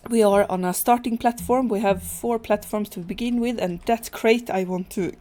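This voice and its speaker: A woman whose first language is Swedish talks through her gameplay in English. Her speech is relaxed and halting, full of fillers and restarts. Her voice sits at a medium pitch. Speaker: gaming channel host. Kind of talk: casual let's play commentary.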